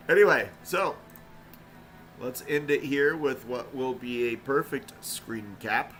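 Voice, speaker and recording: A man's voice: medium pitch, takes it slowly, faint music behind. A man casually chatting on a live game stream into a desk microphone, rambling with lots of fillers. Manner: rambling, relaxed, frequent "uh" fillers.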